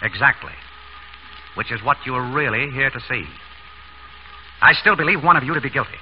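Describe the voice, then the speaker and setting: An actor playing a wry, decisive doctor turned amateur detective in a crisp, authoritative 1930s radio drama style. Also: male voice, mid-range voice, normal speed, close to an everyday voice. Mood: deliberate, pointed. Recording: dull, noisy 1930s radio recording.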